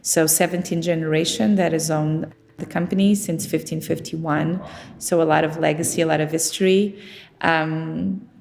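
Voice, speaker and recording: Woman with an even, creaky, lowish voice. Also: moderate pace. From Portugal. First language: Portuguese. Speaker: winemaker being interviewed. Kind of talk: conversational interview about own work.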